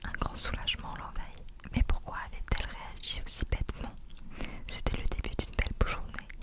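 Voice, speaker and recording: A woman reading a novel aloud as whispered ASMR, in a breathy, unvoiced, soft and unhurried narration.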